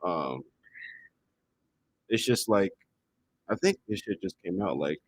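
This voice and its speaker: A deep-voiced man chats casually on a studio music podcast. His intonation moves around a lot. There is a faint rumble of noise behind him. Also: lively intonation, measured pace. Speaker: podcast guest. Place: indoor studio.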